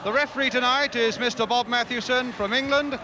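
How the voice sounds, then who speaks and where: A man commentating on a football match in a measured, clipped broadcast manner, his voice a little strained. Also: very high-pitched. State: voice strained from travel. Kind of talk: match commentary with off-air asides. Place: football stadium. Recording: noisy 1970s stadium broadcast with loud crowd.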